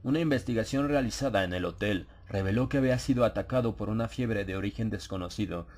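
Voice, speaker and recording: A man narrating a dramatized horror audiobook in a measured, solemn storytelling manner. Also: on the low side, darkish tone. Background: ambient sound effects.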